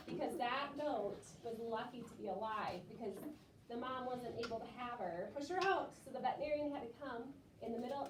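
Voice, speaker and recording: A woman teacher talking to young children in a classroom, explaining things simply and rephrasing as she goes. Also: on the high side. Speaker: elementary school teacher. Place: classroom, away from the mic. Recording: echoey classroom from far off, clearly noisy.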